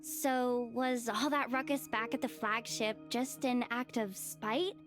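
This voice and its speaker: A woman's voice: on the high side, fairly even intonation, slow pace, over music. A woman voicing a small, childlike fairy companion in an anime game, chatty, curious and given to hesitant, chirpy stammering. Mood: wondering.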